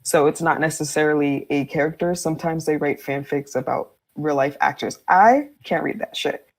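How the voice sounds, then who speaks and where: A woman's voice: low-pitched, moderate pace. A woman co-hosting a casual podcast chat, candid and slangy with a wry edge.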